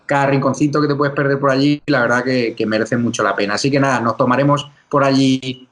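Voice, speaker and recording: A man from Spain hosting a political talk show, emphatic and quick to run through lists. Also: medium pitch, fairly even intonation, fairly bright tone. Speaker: show host.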